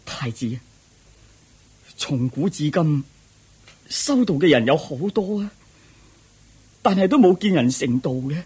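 An actor playing a devoted, anxious servant, pleading deferentially in a radio drama. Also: male voice, on the high side, animated, slow, long pauses, darkish tone, husky, full character voice. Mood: pleading, troubled. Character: devoted anxious male servant and charioteer to a prince. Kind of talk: Cantonese radio drama acting.

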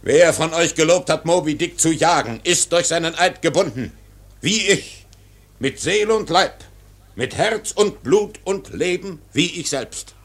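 An actor playing an obsessed, defiant old whaling captain in a radio drama, solemn and declamatory, with a gravelly, husky voice. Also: male voice, fairly high pitch, lively intonation, a little slow. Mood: commanding. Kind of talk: literary radio drama monologue.

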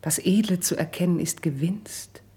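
An actress playing a gentle, devoted lady confidante in a classical verse radio drama, speaking in a measured, soothing, declamatory manner. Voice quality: low, dark, very breathy.

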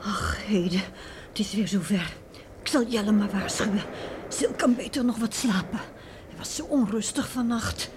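A woman's voice: mid-range voice, normal speed, lightly acted. An actress playing an anxious, fretful island wife and mother in a Dutch radio play. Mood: worried. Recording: noisy old radio play with storm ambience.

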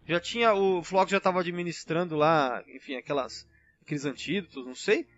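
A man's voice: a little high, brisk, a bit bright, muffled recording. A man with a Brazilian accent in a casual fan discussion, explaining things in an enthusiastic, rambling way as a podcast panelist.

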